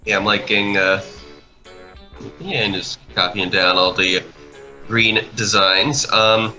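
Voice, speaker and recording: A man chatting casually in a rambling, halting way, like someone producing an animation project. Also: fairly low pitch, steady tone, pushed and bright, low-quality recording. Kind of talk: casual chat over a speedpaint. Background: music.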